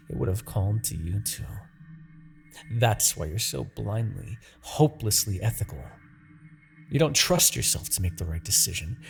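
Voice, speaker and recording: A menacing demon king, acted by a man, holds forth in a fantasy audio roleplay. His voice is lowish and full of gravel, swooping widely in pitch as he taunts and seduces. The delivery is haughty, obsessive and theatrical, with drawn-out phrases. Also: darkish tone. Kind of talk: scripted fantasy ASMR roleplay acting.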